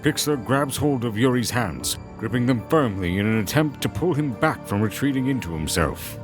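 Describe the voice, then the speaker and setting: A male narrator tells a scripted story in a dramatic style. His voice sits at a middle pitch, with prominent music underneath. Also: animated, normal speed.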